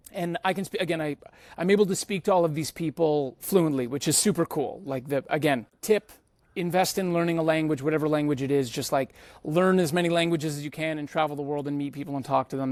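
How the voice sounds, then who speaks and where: Man, fairly high voice, podcast host, informal slangy storytelling, dry close sound. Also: a little mellow, no echo. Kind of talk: casual personal travel storytelling.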